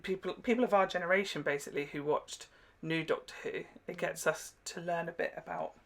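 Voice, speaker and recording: A woman's voice: lowish voice, brisk. Chatty young woman, casual. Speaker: reaction video host. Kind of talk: casual chat.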